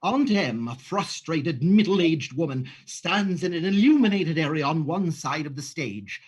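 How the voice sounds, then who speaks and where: Husky guy reading stage directions, slow and dry, kinda sarcastic. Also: fairly high pitch, lively intonation, dull audio. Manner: dry, sarcastic, self-mocking asides. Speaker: narrator and playwright. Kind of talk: scripted narration of stage directions. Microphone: home microphone, livestream.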